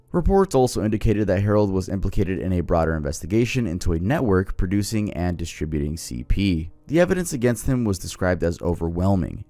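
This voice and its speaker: Soothing man, low and animated, true crime narrator, dry close sound. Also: no room sound. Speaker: YouTube host.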